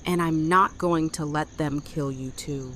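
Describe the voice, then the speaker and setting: A woman's voice: deep voice, fairly even intonation, a little slow, fairly bright tone, slight background noise. A woman playing a hard, stubborn, protective survivor leader in an audio drama, speaking curtly. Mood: stern. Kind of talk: fan audio drama acting.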